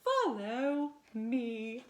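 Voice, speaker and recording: A woman playing an enormous, hairy, magical spider godmother who makes loud, grand pronouncements in a storybook. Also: fairly high pitch, very animated, drawn-out words, full character voice. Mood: grand, commanding.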